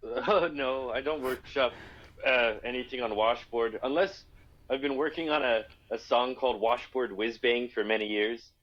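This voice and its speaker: A man with a low voice, a musician guest, answering in a relaxed, thoughtful, halting way. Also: dull audio. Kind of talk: casual interview chat.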